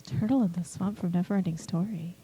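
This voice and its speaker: A woman's voice: lowish voice, fast talker, dark tone, slightly rough, no echo, rough recording. A woman in a casual group discussion, critiquing a story informally and swearing freely. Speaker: podcast panelist and story critic.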